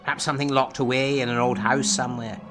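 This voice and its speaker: A man playing a probing, enigmatic therapist in an audio drama, speaking in a measured, formal, analytical way. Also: mid-range voice, fairly even intonation, lightly acted. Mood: probing, certain. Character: probing, enigmatic male therapist to an alien patient. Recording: music under the voice.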